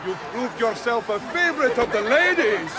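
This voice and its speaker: An actor playing a showy carnival game announcer in a video game, with an Italian accent and a crowd-rousing patter. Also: male voice, extremely high pitch, big pitch swings, normal speed. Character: boisterous Venetian carnival game announcer man. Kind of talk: video game cutscene acting. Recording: loud festival crowd and music behind. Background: festival crowd and music.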